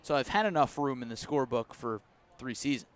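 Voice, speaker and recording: An American man doing live radio baseball play-by-play from a ballpark, slipping between pitch calls and conversational asides. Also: a little fast. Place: outdoors at a ballpark.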